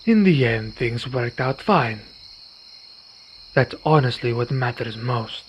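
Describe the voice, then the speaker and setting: A man playing a weary, courteous vampire in an ASMR roleplay, speaking in formal, measured phrases. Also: mid-range voice, lively intonation. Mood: reassuring. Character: weary, courteous male vampire, wounded but calm. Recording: dull recording with night insect noise. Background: crickets and night insects.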